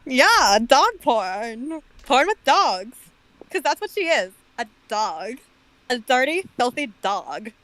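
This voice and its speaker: A woman voices a mean, scheming teenage girl from a story, high-pitched and spiteful. Her delivery swings emphatically up and down, recorded dry and close. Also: very animated, takes it slowly, a bit bright, no room sound, exaggerated voice.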